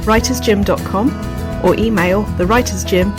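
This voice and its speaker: A woman's voice: medium pitch, moderate pace. A woman reading a scripted podcast outro as an announcer. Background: music.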